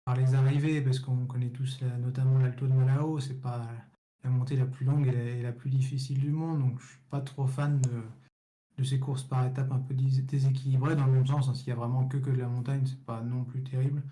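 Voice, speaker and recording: A man's voice: medium pitch, flat delivery, brisk, mellow. A man co-hosting a cycling podcast, giving frank opinions in a casual, repetitive way. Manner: opinionated, frank, repeats words as he goes.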